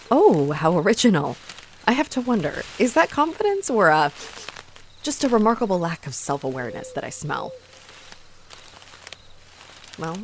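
A woman's voice: lowish voice, a little hiss or hum, natural voice. A woman playing a haughty, sarcastic female vampire in a roleplay audio drama, mocking and theatrical as she taunts her rival. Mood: sarcastic, dismissive.

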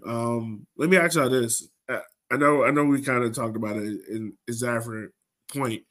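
A man's voice: mid-range voice, fairly bright tone. A man hosting a sports podcast, talking casually and bluntly in an opinionated, conversational way. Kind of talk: casual soccer fan podcast discussion.

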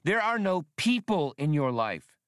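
A man's voice: a little high, big pitch swings, a little slow, a bit bright, clear, resonant tone, no echo, clean studio sound, voice pushed up. A man voicing a proud, blunt young working-class woman spacefarer in an audiobook, speaking emphatically. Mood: exasperated accusing. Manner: scolding, emphatic.

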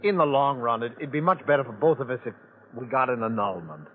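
An actor playing an uneasy, evasive bridegroom in a vintage radio crime drama, speaking haltingly with stammers. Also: male voice, medium pitch, expressive, natural voice. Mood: flustered, pleading. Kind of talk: 1940s-50s radio drama acting. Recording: phone-like vintage radio, faint noise.

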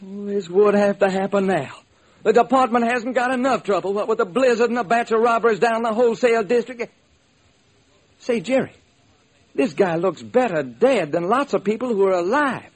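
An actor playing a wisecracking, exasperated police homicide lieutenant in an old radio detective drama, with a husky, slangy, grumbling delivery. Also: male voice, high voice, normal speed.

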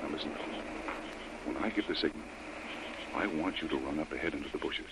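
An American actor playing a tough, commanding adventurer in an old-time radio drama, giving brisk, impatient orders. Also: male voice, extremely low pitch. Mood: hushed, tense. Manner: brisk, authoritative, clipped orders. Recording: old radio broadcast with faint noise.

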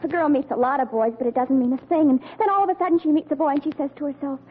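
Woman acting mopey teenage daughter, very high girlish pitch, wistful, melodramatic, tinny vintage radio comedy with audience behind. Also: very fast, natural voice. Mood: lovesick, forlorn. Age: young adult.

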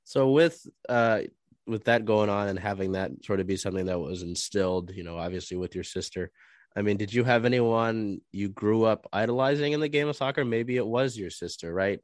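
This man is hosting a sports podcast interview while slightly under the weather, so his voice comes out a little nasal and stuffy. His pitch sits somewhat low and the sound is dry and close. He winds through long, roundabout questions. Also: brisk, no room sound. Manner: rambling, long-winded questions. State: stuffy nose from a cold. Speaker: podcast host. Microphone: video call.

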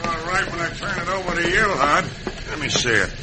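Man playing stubborn henchman, fairly high voice. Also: lively intonation, a little fast, natural voice. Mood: wary. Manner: grumbling, rough grammar. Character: wary, stubborn, grumbling western outlaw henchman. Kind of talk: 1950s western radio drama acting. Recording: noisy old radio drama recording.

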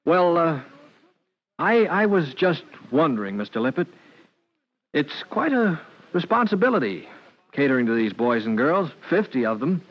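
A man's voice: on the high side, big pitch swings. Nice young fireman big brother, old radio show, polite n kinda hesitant, muffled old audio. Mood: polite, probing. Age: young adult. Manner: hesitant, halting, deferential. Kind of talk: old-time radio drama acting. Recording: dull vintage radio recording.

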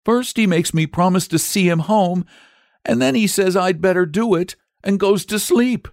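A man's voice: moderate pace, vocal fry, dry recording, studio quality. A man voicing a tired, tearful little boy in a storybook, pleading and piteous. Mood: sobbing, aggrieved. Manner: pleading, plaintive, high-pitched whine.

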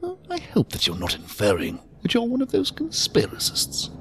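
A man's voice: very high voice, huge pitch swings, normal speed, a bit bright, slight background noise, full character voice. An actor in an audio drama playing an odd, courteous, philosophical old man, speaking in a formal, ornate, theatrically sing-song way. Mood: cryptic, musing. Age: older. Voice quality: creaky, gravelly, breathy at times. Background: music.

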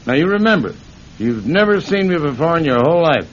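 A man's voice: a little high, sing-song, a little fast. A man playing a cocky middle-aged American con man and thief in an old-time radio crime drama, slangy, brash and bossy. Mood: instructing, cocky. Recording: muffled, hissy old radio broadcast.

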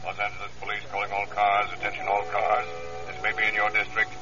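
A man's voice: fairly low pitch, monotone, fast, over loud music, close to an everyday voice. A man playing a police radio dispatcher, reading clipped official bulletins in 1930s radio style. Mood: flat, official. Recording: dull, very noisy old radio recording.

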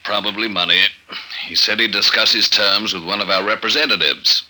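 An actor playing a businesslike government commissioner, brisk and authoritative, in 1950s radio drama style. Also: male voice, fairly low pitch, fairly even intonation, bright, forceful tone, rough recording. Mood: urgent, businesslike. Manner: brisk, authoritative, matter-of-fact. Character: businesslike male government commissioner briefing his agent.

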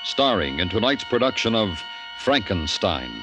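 A male radio announcer reads in scripted 1950s style. His lowish voice moves in lively swings, with clear music behind him on an old broadcast recording. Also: normal speed.

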